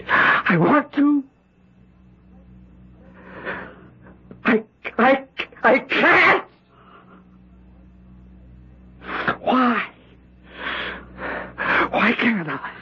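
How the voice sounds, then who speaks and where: An actor playing a tormented, bitter husband gripped by a murderous obsession, speaking intensely and haltingly in an old-time radio drama. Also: male voice, extremely high pitch, sing-song, husky, heavily acted. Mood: anguished. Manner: halting, intense, repeats phrases. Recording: muffled old radio recording.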